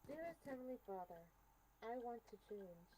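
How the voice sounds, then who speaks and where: A woman streamer reading game text aloud with deliberate, expressive delivery. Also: a little high, noisy background.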